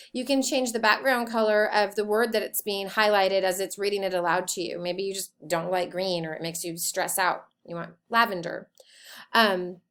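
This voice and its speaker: A woman teacher giving an informal, step-by-step software tutorial in an explanatory way. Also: mid-range voice.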